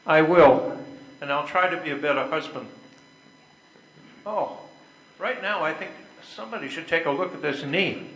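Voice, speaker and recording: A bright, forceful voice carries through an echoey room. It is an older man acting an earnest, self-important husband in an amateur stage play, a little high-pitched and declaiming his lines as he tries to make peace. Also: moderate pace, long pauses. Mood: conciliatory. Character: earnest, self-important but conciliatory husband, a factory vice president. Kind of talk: readers theater stage play. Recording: echoey room with clear background noise.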